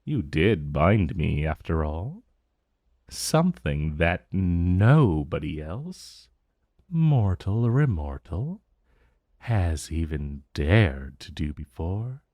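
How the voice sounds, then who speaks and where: A man plays an ancient male dragon in a fantasy audio roleplay, speaking extremely slowly in a low, mellow, intimate voice. He is possessive and condescending, drawing out each vague threat with a smug purr. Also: very animated, no echo, full character voice. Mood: musing, intrigued. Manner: smug, purring, drawn-out menacing delivery. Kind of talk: intimate ASMR roleplay, slow and deliberate.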